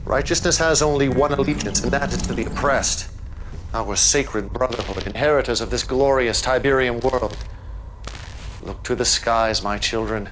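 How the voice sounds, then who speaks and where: An actor playing a zealous, commanding cult-leader villain in a video game, giving solemn theatrical oratory. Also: male voice, medium pitch, normal speed, noisy background, close to an everyday voice. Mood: solemn, prophetic. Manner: solemn, preaching.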